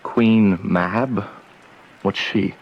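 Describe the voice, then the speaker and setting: An actor playing a lovesick, melancholy young gentleman in earnest, heavy-hearted Shakespearean verse. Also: on the low side, steady tone, very slow, dull audio, heavily acted. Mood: puzzled, soothing. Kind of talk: theatrical Shakespearean verse acting.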